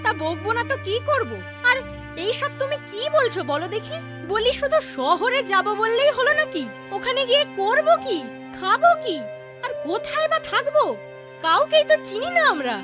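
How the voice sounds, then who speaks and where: A woman's voice: extremely high pitch, sing-song, moderate pace, music in the background. A woman voicing an anxious, scolding wife and mother of a poor household in a cartoon story, fretful and nagging.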